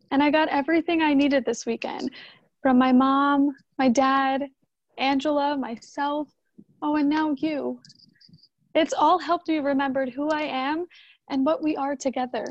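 An actress playing a tender, forgiving, emotional young wife in a radio drama. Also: female voice, high-pitched, darkish tone, no room sound, lo-fi sound.

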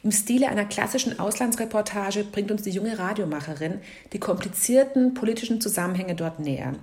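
A woman's voice: medium pitch, fairly quick, a little mellow. A woman reading out a scripted award laudation as a jury member. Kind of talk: scripted speech, read aloud.

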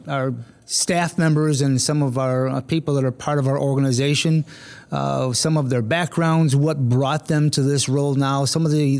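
A man hosting a school district podcast, asking questions in a halting way with many restarts. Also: on the high side, darkish tone. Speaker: podcast host, school administrator. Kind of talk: casual interview.

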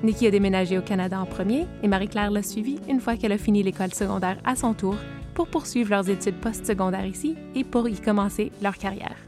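A woman hosting a family podcast, narrating in clear explanatory tones and interviewing her cousins. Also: medium pitch, fast talker, over music. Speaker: podcast host.

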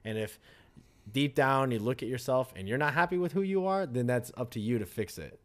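A man's voice: medium pitch, animated, brisk. A man co-hosting a casual talk show, rambling loosely with swearing and joking asides. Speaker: podcast co-host. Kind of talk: casual chat.

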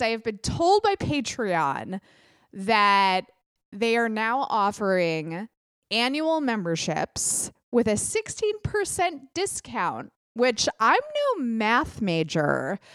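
A young adult woman hosting her own podcast, chatting casually with quick self-deprecating asides, sounding a little congested. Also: medium pitch, a bit bright, no room sound. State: congested, clogged ear. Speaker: podcast host. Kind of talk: casual solo podcast chat.

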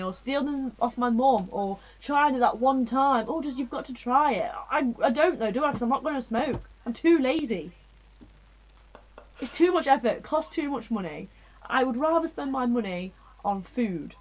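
A woman's voice: sits high, expressive, normal speed, low-quality recording. A young woman co-hosting a casual podcast chat, rambling and digressing with frequent giggles. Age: young adult. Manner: rambling, self-interrupting, giggly. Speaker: podcast co-host.

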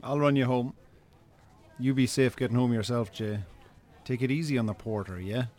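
An actor playing a reproachful, sensible Irish brother in a naturalistic radio drama, speaking in a colloquial, chiding way. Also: male voice, medium pitch, normal speed, darkish tone, lightly acted. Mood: brisk, brotherly.

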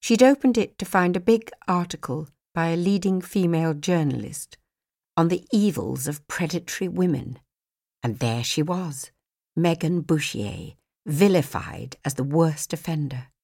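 A woman's voice: low-pitched, measured pace, a little mellow. A woman reading a novel aloud as an audiobook narrator in a scripted storytelling style.

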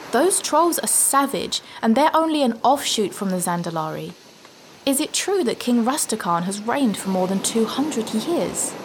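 A woman's voice: medium pitch, lightly acted. Woman playing an earnest young female novice adventurer. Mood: anxious. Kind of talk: fantasy audio drama acting. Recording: noticeable ambient background noise.

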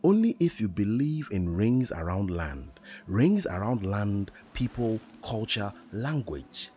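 A dreamy wanderer in his own kingdom, played by a man chants poetic lines very slowly. The low voice rises and falls widely over faint ambient noise, as in a radio play. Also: big pitch swings, mellow, faint music behind. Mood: dreamy, incantatory. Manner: incantatory, deliberate, repeating phrases. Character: unhurried dreamy male wanderer who calls the land his kingdom.

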